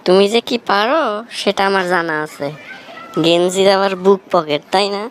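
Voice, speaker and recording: A woman's voice: medium pitch, normal speed, slight background noise, close to an everyday voice. A woman voicing a bossy young village big brother and tutor in a cartoon, lecturing sternly with a Bangladeshi accent. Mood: exasperated. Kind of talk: amateur cartoon dialogue.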